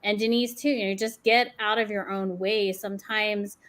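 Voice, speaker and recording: A woman's voice: medium pitch, steady tone, moderate pace, fairly bright tone. A woman in her forties chatting on a panel over a video call, upbeat and laughing between thoughts. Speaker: panelist, podcast host. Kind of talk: casual panel chat.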